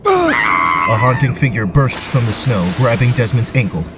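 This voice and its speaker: A man narrating a scripted tabletop fantasy adventure in a deliberate, theatrical way. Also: mid-range voice, animated, shouting, loud music behind the voice. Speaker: narrator and game host.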